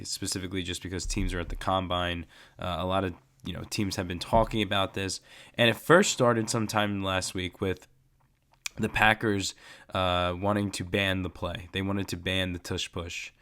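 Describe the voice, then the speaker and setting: Young male vlog host, congested from a cold, lowish voice, passionate and repetitive. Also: steady tone, normal speed, fairly bright tone. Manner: fired-up, repeats himself for emphasis. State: stuffed up with a cold. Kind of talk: casual sports opinion vlog.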